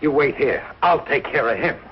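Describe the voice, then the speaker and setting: A man's voice: mid-range voice, animated, moderate pace, natural voice. An actor playing a middle-aged, big-hearted, blundering working-class American father in a 1940s sitcom, with colloquial, ungrammatical speech. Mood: indignant. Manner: colloquial, ungrammatical, plain-spoken. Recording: dull old broadcast with hiss and audience.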